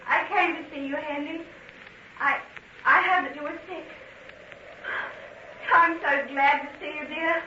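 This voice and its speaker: A woman's voice: high voice, steady tone, measured pace, pauses often, bright, forceful tone. An actress playing a genteel, devoted young lady, earnest and hesitant, in an old-time radio drama. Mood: pleading, alarmed. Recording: dull, noisy old radio recording.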